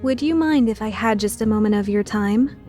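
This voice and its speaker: A woman playing a brisk, impatient teenage genius girl in an anime fandub, with a no-nonsense manner. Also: medium pitch, warm tone, faint music behind, close to an everyday voice. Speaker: amateur voice actor.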